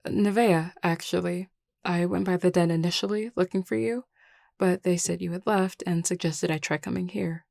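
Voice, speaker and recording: A woman's voice: fairly low pitch, steady tone, moderate pace, vocal fry, darkish tone, no room sound, studio quality. A woman playing a shy, awkward, apologetic vampire leader in an audio roleplay, speaking politely with hesitant stammers. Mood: nervous, polite. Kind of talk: scripted audio roleplay acting.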